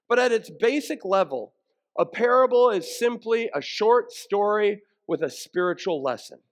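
A male pastor with a high-pitched voice delivers a teaching sermon. He explains in plain, conversational language, as if walking listeners through each point. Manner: conversational, plain-spoken explaining with rhetorical questions. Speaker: pastor preaching to a congregation.

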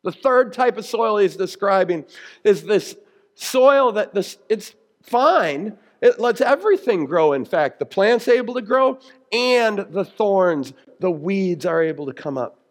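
A man with a notably high-pitched voice gives a conversational sermon. He speaks as a pastor who teaches step by step, keeping his explanations plain-spoken and grounded in everyday examples. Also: very animated, measured pace. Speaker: pastor preaching.

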